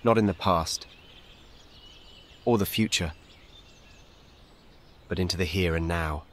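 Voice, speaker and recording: Calm guy doing guided meditation, lowish voice, soothing and measured, faint nature noise behind him. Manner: calm, measured, soothing, with gentle short instructions. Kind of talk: guided meditation, scripted narration.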